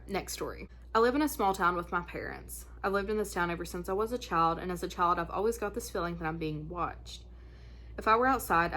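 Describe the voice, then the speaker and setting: A woman's voice: lowish voice, a bit bright, slight background noise. A woman chatting casually and reading spooky listener stories in an informal, conversational way, sounding a little stuffed up. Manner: informal, conversational, slangy asides. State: congested, stuffy nose. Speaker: host of a spooky story channel.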